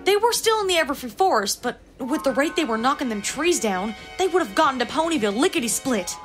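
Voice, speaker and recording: Woman doing honest country mare, southern us drawl, slightly high, earnest cartoon voice. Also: big pitch swings, fast, over music. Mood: uneasy, earnest. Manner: folksy, earnest. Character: plain-spoken, loyal young country mare. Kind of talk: fan cartoon voice acting.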